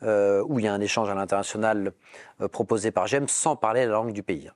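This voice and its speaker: A man's voice: fairly low pitch, steady tone, fast, no echo, studio quality. A man hosting an interview, asking guiding questions and using frequent fillers. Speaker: interviewer, prep school director.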